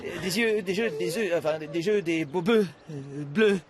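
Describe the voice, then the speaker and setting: A man voicing a friendly, easygoing young male cartoon cat with a casual, buddy-like manner in an animated children's comedy. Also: on the high side, normal speed, crowd noise, close to an everyday voice. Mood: flustered.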